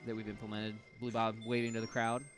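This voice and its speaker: A man doing live commentary on a speedrun, relaxed and chatty, explaining tricks and laughing often. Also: on the low side, fast. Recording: music playing behind.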